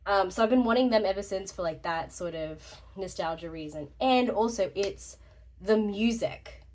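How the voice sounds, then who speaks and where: A woman's voice: medium pitch, normal speed, darkish tone. A young woman with a slightly congested voice, rambling casually through a vlog update, chatty and laughing often. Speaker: journaling YouTuber.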